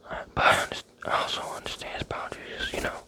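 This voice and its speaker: A man's voice: quick pace, sharp tone. A young man rambling playfully in a close-miked ASMR whisper, with many fillers. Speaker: ASMR creator.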